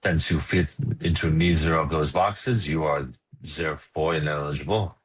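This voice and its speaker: A man's voice: extremely low pitch, fairly even intonation, moderate pace, slightly rough. A man playing an officious, rule-bound Austrian club guard in a comedy script read, speaking stiffly and formally.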